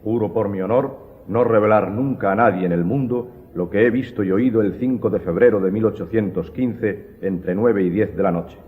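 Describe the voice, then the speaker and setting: Radio drama: male actor as commanding, honour-bound secret club chief, low and formal, boxy reverberant recording. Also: moderate pace, lightly acted. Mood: stern, commanding. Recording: muffled phone-like sound, long reverb.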